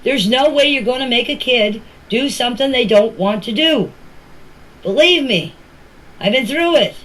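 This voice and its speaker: A woman's voice: a little high, expressive, normal speed, fairly bright tone, low-quality recording. A middle-aged or older woman, giving an informal personal account in a plain-spoken, emphatic way and often repeating herself. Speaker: family member giving her account. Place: voice clips recorded for a group chat.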